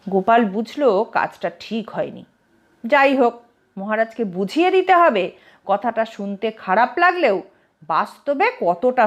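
Female narrator for comic tales, expressive, very lively intonation, higher register. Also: highs cut off. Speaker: storyteller, narrator. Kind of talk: scripted comic story narration.

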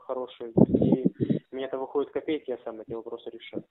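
Fast-talking man on a muffled phone. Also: medium pitch, steady tone, no room sound. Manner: wordy, repetitive, filler phrases. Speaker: man offering a flat for rent by phone. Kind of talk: casual phone conversation. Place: recorded phone call. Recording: muffled narrowband phone-call audio.

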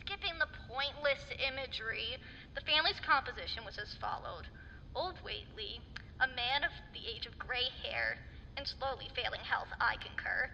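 An actress playing a stern, rational government doctor giving a formal, precise report in a radio drama. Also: female voice, on the high side, moderate pace, gravelly, bright, forceful tone, exaggerated voice. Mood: clinical. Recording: hollow reverberant hall, muffled, faint noise.

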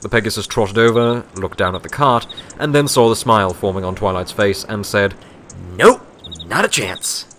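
A man's voice: fairly low pitch, brisk, few pauses, fairly bright tone, slight background noise. A man reading scripted story narration as an audiobook narrator.

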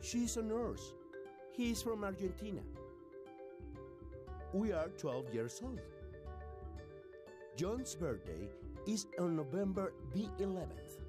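A woman deliberately reading example phrases as scripted language-lesson narration. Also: medium pitch, sing-song. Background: mallet percussion music.

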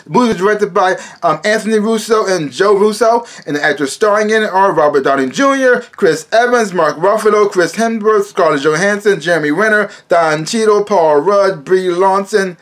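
A man's voice: sits high, moderate pace, hardly any pauses, a bit bright. An American man in his thirties giving a casual, emphatic movie review to camera, often repeating his words, recorded in a small room at home.

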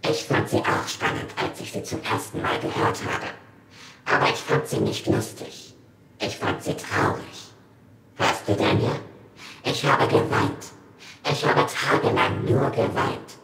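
A whispery, toneless captor addresses his victim in a horror radio play. He is deliberate and sinisterly polite, with taunting menace. The space is echoey, with faint noise behind him. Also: medium pitch, creaky, fairly bright tone. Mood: accusing, taunting. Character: menacing anonymous captor, slow, taunting tormentor. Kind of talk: horror audio drama monologue.

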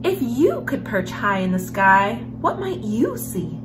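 A young woman hosting a children's story time, reading a picture book aloud in an expressive storyteller's manner. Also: mid-range voice, unhurried. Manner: expressive, animated storyteller, with hushed passages. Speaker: host of a children's read-aloud series. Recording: music behind the voice. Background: background music.

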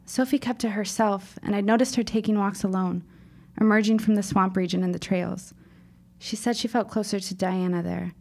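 Woman doing a precocious teen girl in an audio drama, earnest n dramatic, formal wording, bit of fry in the voice. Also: medium pitch, fairly even intonation, normal speed, a little mellow. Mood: shaken, grave. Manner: formal, wordy, dramatic phrasing. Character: precocious, earnest fifteen-year-old girl writer, shaken but insistent.

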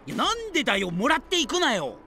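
Excitable high-pitched young pirate. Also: male voice, fast talker, faint music behind, heavily acted. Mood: exasperated. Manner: exasperated, theatrical. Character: excitable, dramatic young male pirate, quick to protest. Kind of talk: anime game comic retort.

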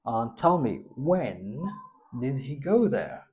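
A man's voice: mid-range voice, very animated, takes it slowly, darkish tone, a little hiss or hum. A young man giving a spoken English test, patiently prompting and correcting a student's answers in a small classroom. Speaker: English teacher.

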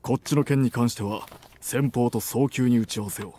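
A man in his thirties playing a suave, assertive company president and boyfriend in an intimate romance audio drama, soft and breathy, gentle yet commanding. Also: medium pitch, moderate pace, a little hiss or hum, lightly acted. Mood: businesslike, keen. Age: 30s.

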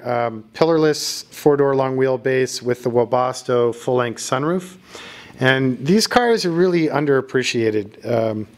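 A man giving a casual walking tour of his custom vehicle workshop, listing technical details with frequent fillers. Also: mid-range voice. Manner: relaxed, technical, filler-heavy. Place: large indoor workshop and showroom.

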